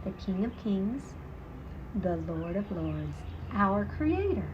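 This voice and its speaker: A woman voicing a wise, courteous old male owl in a storybook, solemn and deliberate like a vicar. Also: mid-range voice, very animated, muffled recording, background noise, pitched below the performer's own voice.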